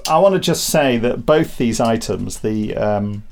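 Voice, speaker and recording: A man's voice: mid-range voice, lively intonation, a little slow, vocal fry, darkish tone. A middle-aged British man hosting a podcast in casual chat, with a jokey, rambling, self-mocking way of talking. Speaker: podcast host.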